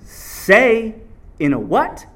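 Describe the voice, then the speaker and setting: A man's voice: high voice, drawn-out words. A man preaching a sermon, reading scripture aloud and drawing answers back from the congregation. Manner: animated call-and-response preaching.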